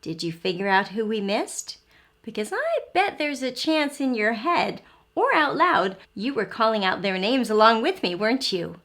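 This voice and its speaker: A woman's voice: on the high side, very animated, normal speed, a bit bright. A woman teacher giving a phonics lesson and story time for young children, explaining simply and asking frequent questions. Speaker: early learning teacher and story reader.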